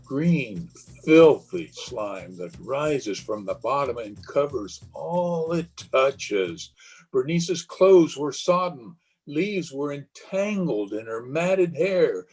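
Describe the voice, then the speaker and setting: An actor playing a haunted, superstitious, fearful husband in the dramatic, halting style of a 1930s radio horror play. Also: male voice, medium pitch, animated, darkish tone. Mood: haunted, awed. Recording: husky, muffled voice recorded over video call.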